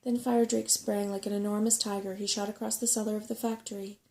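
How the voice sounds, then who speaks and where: Soft-spoken woman reading, creaky voice. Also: medium pitch, flat delivery, fast. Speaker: audiobook-style reader. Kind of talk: soft-spoken ASMR bedtime story reading.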